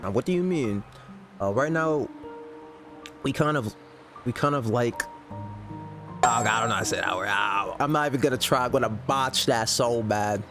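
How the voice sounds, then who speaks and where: A man's voice: mid-range voice, a little slow, pauses often. A man giving casual reaction commentary as he plays through a video game. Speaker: gaming streamer reacting to a playthrough. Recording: game music behind the voice.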